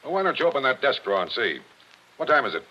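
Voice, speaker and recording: A man's voice: mid-range voice, sing-song, rapid-fire, a little hiss or hum, lightly acted. Give me a shrewd police captain with a lilt, blunt and wry, who presses every point , as a man acts him out. It sounds like a muffled, dull old radio broadcast. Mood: pressing. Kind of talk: 1940s radio drama acting.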